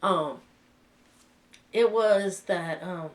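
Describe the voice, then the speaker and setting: Woman vlogger with a cold, unhurried and halting, slightly distant from the mic. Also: on the low side. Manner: hesitant, many fillers and restarts. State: sick with a cold. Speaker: vlogger reviewing a film. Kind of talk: casual spoken review.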